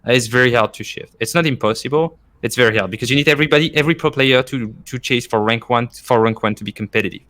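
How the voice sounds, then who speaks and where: A man's voice: mid-range voice, fast talker, few pauses, bright, forceful tone. A man from France with a French accent, explaining his view emphatically in casual discussion as a podcast guest. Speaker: former esports coach, podcast guest.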